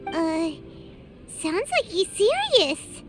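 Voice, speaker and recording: Squeaky, tiny fairy sidekick. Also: female voice, big pitch swings, extremely slow, heavily acted. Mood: startled. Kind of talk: video game voice acting. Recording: music playing loudly behind voice.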